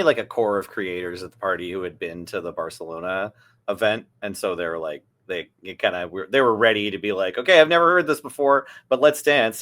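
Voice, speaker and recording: A man's voice: medium pitch, fairly bright tone. A man co-hosting a podcast, chatting casually and acting out quotes with animation. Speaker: podcast co-host. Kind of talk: casual recap chat.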